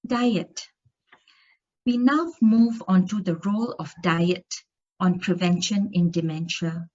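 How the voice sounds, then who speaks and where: A woman's voice: on the low side, slow, darkish tone, highs cut off. A woman from Singapore giving an academic conference lecture, reading steadily through study findings with occasional fillers and restarts. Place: conference room.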